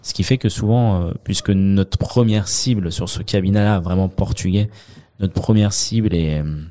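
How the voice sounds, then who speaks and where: French male accountant guest, deep mellow voice, halting delivery full of fillers. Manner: hesitant, many fillers and restarts. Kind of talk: conversational interview.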